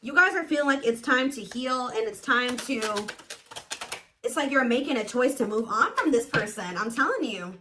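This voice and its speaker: A woman's voice: a little high, animated, moderate pace, noisy background. A woman giving a casual, intuitive tarot reading to viewers, talking in an emphatic, conversational way and repeating herself for emphasis. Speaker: tarot reader, host.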